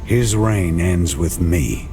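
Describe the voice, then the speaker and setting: A man's voice: deep voice, expressive, takes it slowly, warm tone, soft background music, heavily acted. A man playing a grim, resolute warrior knight in a fantasy game, terse and solemn. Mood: grim resolve. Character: grim, resolute warrior knight hero set on his brother. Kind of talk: cinematic fantasy game acting.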